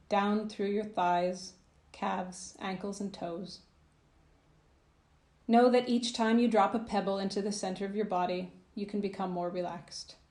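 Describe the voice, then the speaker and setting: A woman narrating a guided visualisation as a coach, in a soothing, gentle, measured manner with phrases that trail off softly. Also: medium pitch, echoey room. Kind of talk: guided meditation, scripted narration.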